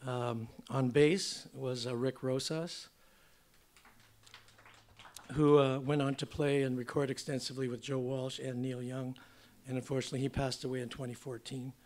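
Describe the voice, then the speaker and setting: An older man, slightly hoarse, introducing his song in casual, rambling stage talk with many fillers. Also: medium pitch, level tone, moderate pace, darkish tone. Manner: rambling, filler-heavy, chatty. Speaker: singer-songwriter. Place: theater stage at a museum event.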